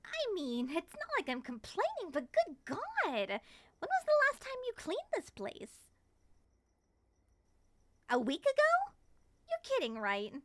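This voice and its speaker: Woman voicing an excitable high-pitched moth monster woman. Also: takes it slowly, pauses often, no room sound, heavily acted. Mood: baffled. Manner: theatrical, expressive, exclaiming. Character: excitable, dramatic, suspicious moth monster woman freed from a ring. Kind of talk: ASMR roleplay acting.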